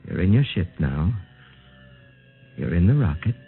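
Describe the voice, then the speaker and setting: An actor playing a calm, clinical doctor who speaks in a measured, authoritative way, in a 1950s radio drama acting style, heard through a tinny old radio broadcast. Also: male voice, deep voice, breathy edge, soft background music, exaggerated voice. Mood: hypnotic. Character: calm, clinical middle-aged male doctor.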